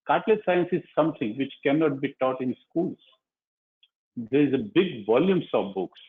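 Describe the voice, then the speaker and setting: A man delivering a prepared launch speech as a surgeon and author, speaking deliberately in short, emphatic phrases. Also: mid-range voice. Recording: muffled, phone-like narrow-band online call audio.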